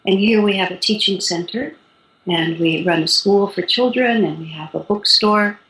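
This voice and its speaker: A woman in her sixties, a spiritual teacher calmly explaining her practice in an interview, with light laughs. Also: mid-range voice, moderate pace. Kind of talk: interview guest. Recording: roomy echo.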